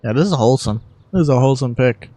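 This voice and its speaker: A young adult host chats casually to viewers in a mid-range voice. The delivery is self-deprecating and loosely sweary, with music clearly playing behind it. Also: medium pitch, expressive, fast talker, dark tone. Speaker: video host and amateur voice impressionist. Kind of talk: casual spoken announcement to viewers.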